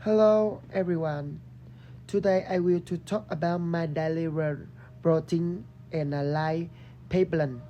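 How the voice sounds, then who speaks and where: Young Vietnamese-accented female student presenter, slow and halting, mellow lowish voice, faint noise behind. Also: fairly even intonation, lo-fi sound. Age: young adult. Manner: hesitant, broken word starts. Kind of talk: halting rehearsed English class presentation and interview.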